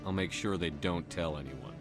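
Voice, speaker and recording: An actor playing a calm, resolute military commander in a video game, speaking firmly and tersely. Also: male voice, sits low, normal speed, over music, close to an everyday voice. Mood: calm, assured. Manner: firm, terse.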